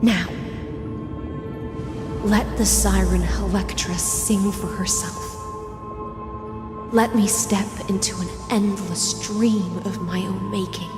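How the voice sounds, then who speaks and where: An actress playing a weary, sorrowful and proud siren warrior in a video game, speaking solemnly and dramatically. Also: female voice, medium pitch, steady tone, slow pace, long pauses. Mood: solemn resolve. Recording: echoey hall reverb under music.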